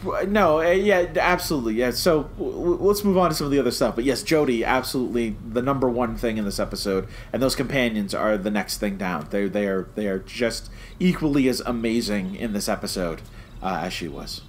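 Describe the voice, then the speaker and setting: A man with a mid-pitched voice talks as a podcast co-host reviewing television. He explains in an emphatic, conversational way, repeating phrases to press a point, with noticeable noise behind him. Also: brisk. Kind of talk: casual chat, review discussion.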